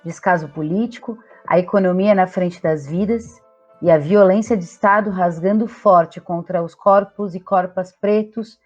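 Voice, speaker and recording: A woman's voice: fairly low pitch, normal speed, soft background music. A woman in her thirties with a Brazilian accent, co-hosting a podcast with scripted narration. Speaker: podcast co-host. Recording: slightly dull lo-fi audio.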